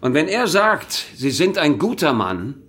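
An actor playing a well-meaning employer in a German radio drama, speaking in a plain, reassuring way. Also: male voice, fairly high pitch, sing-song, measured pace, a bit bright. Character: frank, well-meaning male employer offering a job.

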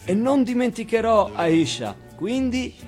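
Man playing a gentle, wistful old man. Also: very high-pitched, very animated, measured pace, faint music behind, pitched above the performer's own voice, exaggerated voice. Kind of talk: theatrical monologue.

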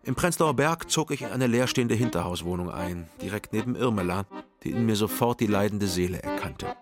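An actor playing a world-weary private detective who narrates a radio crime drama in a dry, measured, matter-of-fact way. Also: male voice, on the low side, expressive, lightly acted. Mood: dry, reflective. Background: music.

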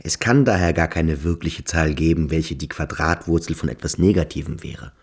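A man playing a brooding, earnest cadet in a radio drama, hushed and searching. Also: very deep voice, moderate pace, gravelly, husky, full character voice. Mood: puzzled. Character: brooding, earnest male cadet at a boarding school. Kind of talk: literary radio drama acting.

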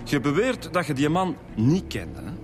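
An actor playing a plain-spoken, dogged police inspector with a Flemish accent in a radio drama, colloquial and insistent. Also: male voice, fairly high pitch, big pitch swings, moderate pace, music in the background. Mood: firm, questioning. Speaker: police detective.

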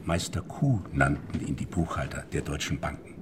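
A man's voice: extremely low pitch, normal speed, a little hiss or hum. An actor playing a shrewd, worldly banker's man who tells of secret dealings in a theatrical, storytelling way in a radio drama. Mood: conspiratorial. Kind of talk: German radio drama acting. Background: music.

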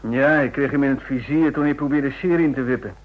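An actor playing a blunt army general in a radio drama, with a husky voice and clipped military phrasing. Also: male voice, medium pitch, steady tone, a little fast, close to an everyday voice. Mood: blunt, firm. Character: male army general, blunt, gruff career soldier. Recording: dull lo-fi old radio recording.

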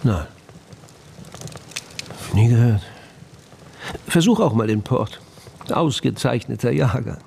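An actor playing an opinionated gentleman scientist in a radio play, self-assured and dismissive but courteous. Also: medium pitch, expressive, slow pace, creaky, long pauses. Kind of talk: radio drama acting. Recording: faint background noise.

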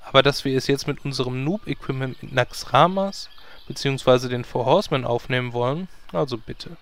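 A sardonic sixtyish hero, acted by a man in a comedy audio play. He speaks at a medium pitch, dry and long-winded in his reasoning. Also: fairly quick, fairly bright tone, close to an everyday voice. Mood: dryly indignant. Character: sardonic sixty-year-old male hero.

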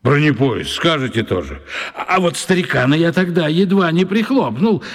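In long reverb, a gruff seafaring man blusters and hesitates in a radio drama. His voice is fairly high and lively, hot-tempered yet awkwardly respectful. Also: normal speed. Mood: grumbling, sheepish.

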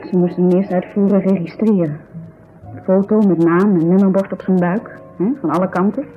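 A woman's voice: on the low side, steady tone, fairly quick, faint music behind, natural voice. An actress playing a distraught, indignant woman in a 1970s Dutch radio drama, speaking with agitated, bitter emphasis. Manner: agitated, emphatic, bitter storytelling with tag questions. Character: distraught, indignant woman telling of her injured friend. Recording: muffled 1970s radio recording.

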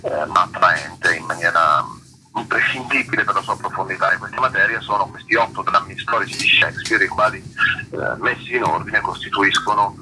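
A man being interviewed about his theatre work, speaking in an articulate, reflective way and searching for words with pauses and repetitions. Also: low-pitched, sharp tone, slight background noise, low-quality recording.

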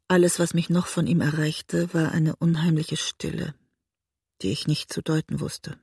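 An actress playing a haunted woman looking back on her marriage, husky and breathy, in grave, restrained audio drama narration. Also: low voice, warm tone, no echo, clean studio sound, heavily acted. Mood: resigned, melancholy. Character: haunted woman writer recalling a destructive marriage. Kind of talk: literary first-person audio drama narration.

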